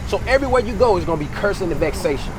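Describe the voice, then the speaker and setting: A man's voice: sits high, lively intonation, racing. An American man preaching in an impromptu, colloquial street sermon, exhorting and repeating phrases for emphasis. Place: outdoors on a city street. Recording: loud outdoor traffic and street noise.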